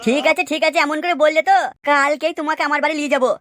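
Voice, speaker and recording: A woman's voice: high-pitched, steady tone, rapid-fire, over music, pitched below the performer's own voice, close to an everyday voice. A woman voicing a nosy, puzzled village man in a cartoon. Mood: challenging. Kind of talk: cartoon dialogue. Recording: noisy track with clicks.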